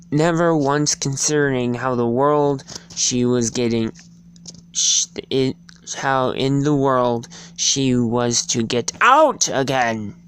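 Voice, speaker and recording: Slow, halting storybook reader with clear voice. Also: medium pitch. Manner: halting, stumbling over words. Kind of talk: amateur dramatic reading of a storybook.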